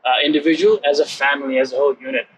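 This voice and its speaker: Higher-pitched young Nepali-accented man, some noise. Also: racing. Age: 20s. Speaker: interview guest, program alumnus. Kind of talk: casual interview answers.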